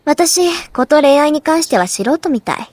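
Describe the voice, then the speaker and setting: A refined, cool-headed schoolgirl teases with calm composure. Her voice is high and swings widely in pitch at a fast clip, and game music is clearly heard behind her. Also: a little hiss or hum. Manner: composed, dryly teasing, ladylike. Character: poised, cool-headed schoolgirl who hides her true self.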